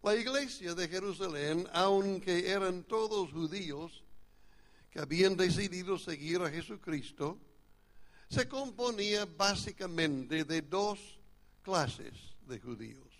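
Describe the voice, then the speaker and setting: A male preacher with a gravelly, fry-tinged voice, delivering a sermon with an American accent. His voice sits a little high for a man, and he explains things in a measured, teaching manner at a church retreat. English is his first language. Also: lively intonation, fairly bright tone.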